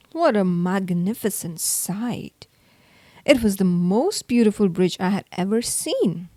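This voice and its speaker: A woman reading a children's story aloud in an expressive, theatrical way. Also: on the high side, no room sound. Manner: theatrical, acts out the exclamations. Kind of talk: expressive read-aloud narration for kids.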